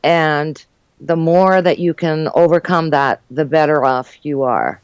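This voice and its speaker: An older woman talks through her life story in a loose, chatty way, full of little fillers. Her voice is smooth and clear, but it comes through a dry, dull, muffled line. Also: low-pitched, a little slow, no echo. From Uruguay, raised in New Jersey and New York. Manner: chatty, warm storytelling with frequent fillers and laughs. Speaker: guest. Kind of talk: casual interview chat.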